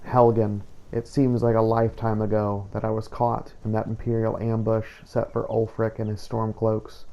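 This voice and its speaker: A man narrating a scripted first-person journal of a game playthrough in a measured, formal storytelling manner. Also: on the low side, monotone, warm tone, muffled recording. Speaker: host and player of a game playthrough.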